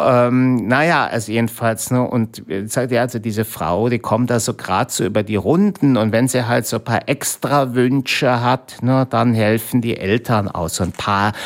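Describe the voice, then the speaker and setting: A man hosting a community radio show alone in a studio, rambling along in a halting way, restarting sentences and tagging nearly every phrase with a questioning "ne?". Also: on the low side. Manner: rambling, halting, constant "ne?" tags, many restarts. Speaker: radio host. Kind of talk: rambling solo monologue. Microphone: studio mic.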